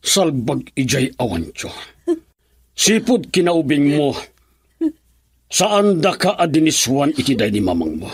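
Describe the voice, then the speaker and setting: A man's voice: a little high, sing-song, measured pace, long pauses, breathy edge, full character voice. A man playing an angry, protective middle-aged father in a radio melodrama, speaking indignantly. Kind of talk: melodramatic radio drama acting.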